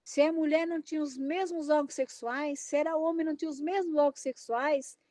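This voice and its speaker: A middle-aged woman with a Brazilian Portuguese accent delivers an impassioned talk, her voice high and bright. She exclaims and presses each point with open indignation. The sound is dry and close. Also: steady tone, brisk, dry recording. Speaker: invited lecturer.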